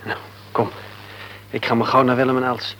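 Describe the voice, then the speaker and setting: A man playing a kind young father in a radio play, gentle and soft-spoken. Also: mid-range voice, flat delivery, normal speed, soft background music, lightly acted, rough recording.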